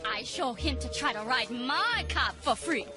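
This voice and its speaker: A woman voicing a brash cartoon street character in an exaggerated Jamaican accent, played from a cartoon clip over loud music. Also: high voice, animated, a little slow. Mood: taunting.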